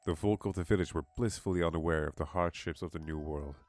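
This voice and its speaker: Low-voiced man narrating horror. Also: fast talker, a little mellow, no echo, soft background music. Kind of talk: scripted horror story narration.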